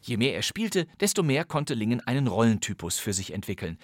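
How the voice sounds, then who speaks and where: A man's voice: medium pitch, big pitch swings, a little fast, no echo. A man hosting a crime radio-play podcast, introducing an old recording in a playful, conversational way. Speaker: podcast host. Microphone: close studio microphone. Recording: dry studio sound.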